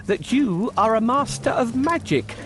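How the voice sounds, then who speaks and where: A man voicing a clever, boastful talking cat in a theatrical, cocky way for a children's animated storybook. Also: very high-pitched, measured pace. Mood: coaxing, sly. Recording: music behind the voice. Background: music.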